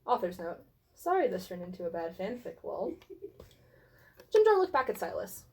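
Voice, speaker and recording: Female narrator, lively pitch swings, theatrical tongue-in-cheek delivery of comedic fantasy fan fiction. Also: moderate pace. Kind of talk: dramatic reading of comic fan fiction.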